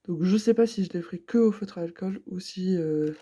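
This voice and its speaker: A woman's voice: on the low side, fairly quick, dark tone, breathy edge. A woman in her twenties with a stuffy nose from a cold, chatting casually and hesitantly about her crafts. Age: 20s. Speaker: craft vlogger. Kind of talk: casual chat.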